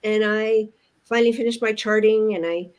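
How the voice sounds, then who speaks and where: An older woman from Canada, a retired nurse with grandchildren, telling her story on a live chat in an earnest, thoughtful way with pauses. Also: mid-range voice, steady tone, a little mellow. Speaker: online business owner, co-host. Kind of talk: casual chat.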